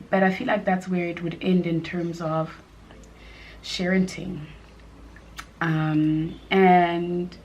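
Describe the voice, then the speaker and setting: Slightly husky woman around 30 doing her makeup and chatting, lots of ums and likes, takes her time. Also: on the low side, a little hiss or hum, low-quality recording. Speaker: beauty vlogger and mother. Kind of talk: casual chat while doing makeup.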